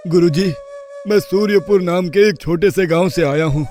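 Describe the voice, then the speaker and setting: A poor village father acted out by a man, humble and deferential, with an earnest pleading manner. His voice sits fairly high, over soft music in a cartoon moral story. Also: normal speed, natural voice.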